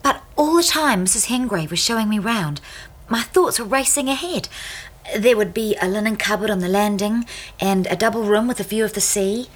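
An actress playing an unpretentious, impressionable young wife in a radio drama, earnest and emotional in manner. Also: female voice, mid-range voice, moderate pace, natural voice. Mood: wondering recollection. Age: young adult. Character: impressionable, anxious young married woman, unpretentious.

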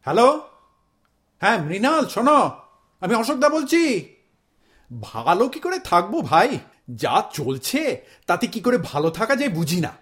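A man playing a pompous, high-pitched Bengali husband. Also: huge pitch swings, moderate pace, pauses often, fairly bright tone, full character voice. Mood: businesslike. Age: middle-aged. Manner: pompous, declamatory, hectoring. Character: self-important, indignant Bengali husband and father, zealous would-be protester. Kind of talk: stage-style radio play acting.

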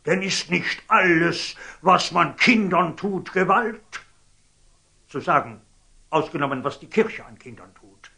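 A man's voice: on the high side, lively intonation, unhurried, creaky, sharp tone, breathy edge, exaggerated voice. An actor playing a pompous, zealous and scheming old churchman in declamatory German radio drama style. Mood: pompous, pitiless. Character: zealous, scheming, fanatical old churchman, shifting from outrage to fawning. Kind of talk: theatrical German radio drama acting of classic stage verse.

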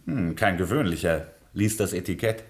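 A man's voice: low voice, animated, normal speed. A man acting in a German radio play as a teasing, self-assured gangster boss with a familiar, casual way of talking. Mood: smug, teasing. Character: confident, teasing male gangster boss and bootlegger.

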